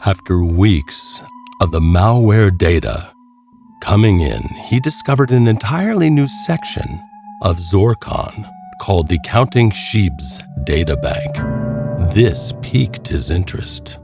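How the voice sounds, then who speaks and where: A man narrating a scripted horror story in a deliberate, suspenseful way, with dramatic pauses. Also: deep voice, expressive, creaky, darkish tone, soft background music.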